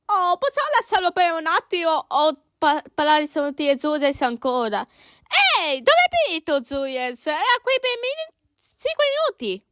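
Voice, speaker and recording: A woman voicing excitable, friendly little cartoon animals in an amateur dub, with a childlike, halting, exclamatory delivery. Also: high voice, dry recording, studio quality. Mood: playful, puzzled. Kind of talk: amateur cartoon dub, playing every character.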